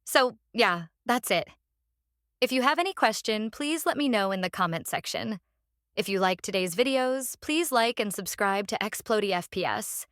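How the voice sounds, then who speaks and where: A woman who speaks English as a second language hosts a step-by-step software tutorial in a halting, repetitive instructional way. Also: medium pitch, expressive, no room sound, studio quality. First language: non-native English speaker. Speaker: tutorial host.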